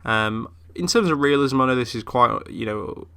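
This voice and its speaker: A man's voice: medium pitch, lively intonation, normal speed, creaky, bright, forceful tone, clear, resonant tone. Chatty young man with a cold. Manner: chatty, full of fillers. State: ill, voice a bit off. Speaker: gaming YouTuber. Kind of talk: casual game commentary.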